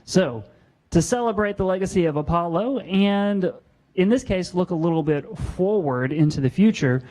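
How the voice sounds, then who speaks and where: Young man, upbeat live host, slightly high voice. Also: a little mellow, rough recording. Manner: upbeat, chatty, with fillers. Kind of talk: live event hosting. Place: museum cafe with audience.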